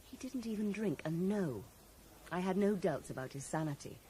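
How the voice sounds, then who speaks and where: A young woman convalescing in an eighties radio drama, played by an actress. She is wistful yet defensive, with a mellow tone and widely moving intonation. The old broadcast recording is faintly noisy. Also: lowish voice, animated, normal speed, natural voice. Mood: guarded. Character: loyal, defensive young woman in love, recovering in hospital. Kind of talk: 1980s radio drama acting.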